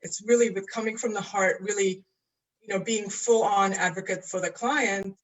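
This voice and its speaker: A woman from Estonia speaks as an interview guest, earnest and unhurried, often pausing to find her words. She talks over a video call in a relaxed, conversational way. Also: mid-range voice, low-quality recording. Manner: earnest, searching for words, laughs easily. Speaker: astrologer and coach guest. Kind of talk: casual interview.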